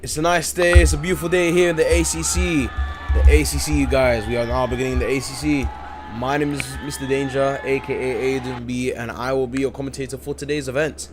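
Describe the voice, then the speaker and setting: A man's voice: mid-range voice, fairly even intonation, a little fast, music in the background. A man commentating a live-streamed game event late at night, tired but hyped, repeating himself a lot. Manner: hyped and repetitive, keeps saying "you guys". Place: home, in the UK.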